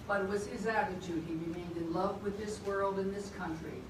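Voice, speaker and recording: A woman's voice: medium pitch, fairly even intonation, brisk, background noise. A woman in her eighties, a former choir member, chatting about her school days and reading a letter aloud to a reunion group. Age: 80s. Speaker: choir alumna. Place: church hall at a reunion. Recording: distant phone stream in an echoey church hall with a crowd.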